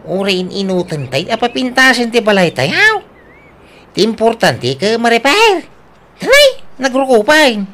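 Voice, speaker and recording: A woman's voice: on the high side, sing-song, unhurried, fairly bright tone. A woman voicing an earnest, good-hearted, hardworking young grown son in a comic Ilocano radio drama. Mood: earnest, cheerful.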